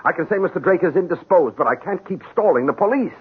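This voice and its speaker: An actor playing an uneasy executive accomplice in a 1970s radio drama, speaking in a formal, clipped, officious way. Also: male voice, sits high, expressive, quick pace, husky, heavily acted. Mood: worried. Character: smooth-talking, uneasy executive accomplice and lover. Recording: muffled, phone-like old radio recording.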